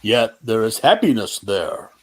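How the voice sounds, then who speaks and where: Man playing a slow, solemn Christmas ghost. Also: fairly low pitch, very animated. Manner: grave, deliberate, declaiming. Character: solemn, stately Christmas ghost guiding an old miser. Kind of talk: amateur radio play reading.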